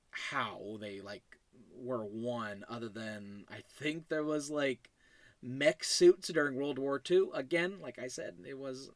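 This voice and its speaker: A man, around his thirties or older, talking casually and haltingly as a podcast host, rambling in a self-deprecating, chuckly way. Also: medium pitch, a bit bright, dry recording. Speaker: podcast host and writer of an audio drama series. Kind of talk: casual rambling solo commentary.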